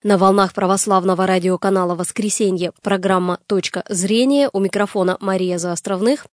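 A woman presenting a radio program from the studio. She moves the conversation along briskly and plainly. Her voice is close and dry, with no room sound. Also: medium pitch, fairly even intonation, dry recording. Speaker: radio host.

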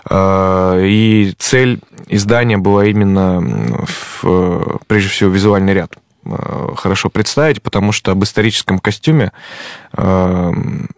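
A man, an ethnologist guest in an interview, explaining at a measured pace with frequent hesitation sounds. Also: low voice, dry recording, studio quality.

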